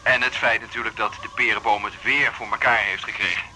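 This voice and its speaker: Charming suitor with a very bright voice. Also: male voice, lowish voice, fairly even intonation, a little fast. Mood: charming, wooing. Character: charming, courting man on the telephone. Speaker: actor in a radio drama. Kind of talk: radio drama acting. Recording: faint noise behind the voice.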